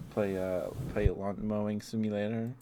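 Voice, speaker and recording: Deep-voiced man rambling on an ASMR stream. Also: level tone, slow pace. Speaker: livestreamer. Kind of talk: casual rambling ASMR livestream chat. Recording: clear background noise.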